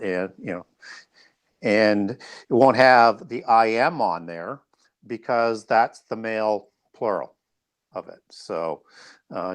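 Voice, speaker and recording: A man with a slightly low voice talks as a guest expert. He explains point by point in a studious, somewhat halting manner, correcting himself along the way. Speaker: guest author. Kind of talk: explanatory interview talk.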